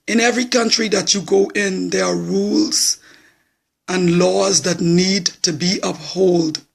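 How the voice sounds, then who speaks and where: Bahamian guy, hoarse and husky, slow plain-spoken live stream chat. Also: high voice, steady tone. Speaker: political supporter. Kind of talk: live social media talk.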